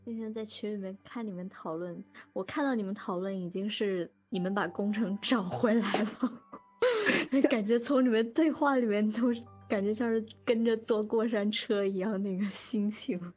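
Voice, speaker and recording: A woman's voice: medium pitch, normal speed, darkish tone, highs cut off, soft background music. A woman in a casual group chat, talking informally with playful complaints. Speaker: drama production staff member.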